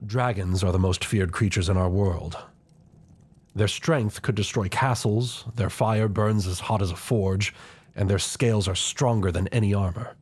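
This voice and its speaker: A man acts out an older chancellor of a fantasy royal court in an audio roleplay. His voice is low and somewhat rough-edged. He speaks with formal, deliberate courtesy, grave and loyal to the crown, with a scheming streak. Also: darkish tone. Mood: urging. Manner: formal, deferential, measured courtly speech.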